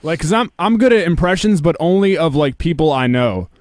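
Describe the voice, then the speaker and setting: A man's voice: a little high, animated, brisk, darkish tone, dry recording, clean studio sound. A man, co-hosting a casual interview in a conversational way. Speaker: interview co-host.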